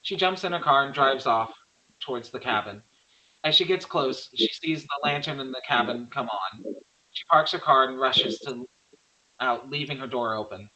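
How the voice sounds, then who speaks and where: A man's voice: mid-range voice, normal speed, bright, forceful tone, rough recording. A man reading the stage directions of a horror screenplay as scripted narration.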